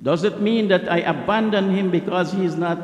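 A middle-aged man giving an impassioned Friday sermon at a mosque lectern, repeating lines for emphasis and reciting Arabic supplications quickly. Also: high-pitched. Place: mosque prayer hall. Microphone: stand mic at a lectern. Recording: echoey hall.

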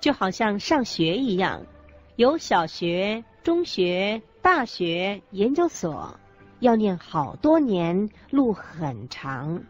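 A woman reading scripted religious teaching as a co-narrator, in a measured, explanatory manner. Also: medium pitch, sing-song. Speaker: co-narrator of a Buddhist teaching radio program. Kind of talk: scripted didactic narration in a radio program. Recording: muffled lo-fi audio with music underneath. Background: background music.

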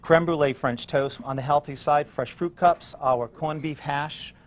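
A middle-aged man, a chef and restaurant owner, answering interview questions in his restaurant in a relaxed way, with many fillers as he lists dishes. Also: a little high, measured pace. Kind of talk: on-camera interview and cooking demo. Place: restaurant dining room and kitchen.

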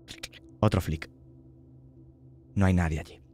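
A man narrating a horror tabletop game as game master, slow and hushed, voicing small sound effects. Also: deep voice, fairly even intonation, slight background noise.

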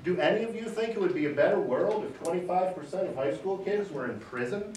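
Loud, emphatic man in echoey hall. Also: high voice, lively intonation, fairly quick. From Michigan. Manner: emphatic, gesturing, pounds the table. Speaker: public hearing witness. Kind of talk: unscripted testimony. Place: large wood-panelled hearing room. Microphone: far camera. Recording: echoey room, camera far off.

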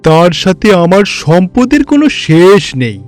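A man playing a pompous, high-pitched rich god. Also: sing-song, a little slow, soft background music. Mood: proud, gloating. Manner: pompous, gloating, theatrical. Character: boastful, vain rich god of wealth, fawning before superiors. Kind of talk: Bengali animated fairy-tale voice acting.

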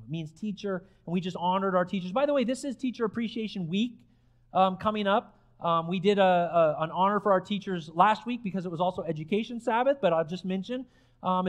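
A middle-aged man preaching a sermon, explaining scripture in an emphatic yet conversational teaching manner. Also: high voice. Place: church stage before a congregation, indoors.

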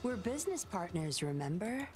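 A sly young female undercover agent who teases with smooth, playful confidence. Her voice sits low for a woman, and game music plays clearly behind her lines. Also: very animated, moderate pace, darkish tone. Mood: confident. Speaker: game character.

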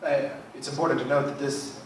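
A man in his thirties moderating a panel discussion with a handheld mic, speaking in a hesitant, halting way. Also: mid-range voice, level tone. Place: large church hall. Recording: echoey hall from far off, faint hiss.

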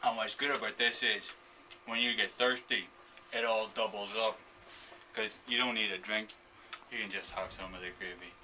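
Low-voiced guy mumbling, quiet and far off, lots of noise behind. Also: fairly even intonation, moderate pace. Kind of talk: casual clip commentary.

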